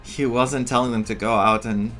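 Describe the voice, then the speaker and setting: Male game streamer, medium pitch, unhurried, musing, halting casual reactions, music behind. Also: fairly even intonation, a bit bright. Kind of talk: casual playthrough commentary.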